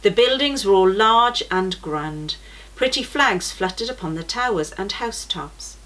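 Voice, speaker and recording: A woman reading a children's story aloud for a church program, in a gentle, measured storytelling manner. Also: mid-range voice, animated, low-quality recording.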